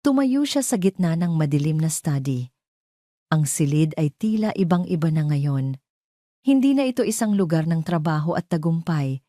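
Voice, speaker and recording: A Filipino woman narrates a scripted audio drama. Her voice sits low and has a dark, mellow tone. The sound is dry, with hardly any room in it. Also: lively intonation, moderate pace, no echo.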